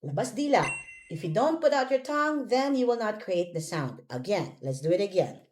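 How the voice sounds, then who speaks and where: A woman with a Filipino accent teaching English pronunciation one-on-one, encouraging and instructive, repeating words slowly for the learner. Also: mid-range voice, big pitch swings, darkish tone, a little hiss or hum.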